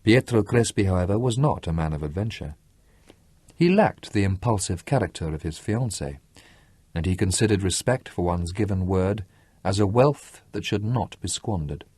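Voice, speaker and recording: A man's voice: deep voice, lively intonation, moderate pace, darkish tone, husky, no room sound. A man narrating a literary story from a script as an audiobook reader.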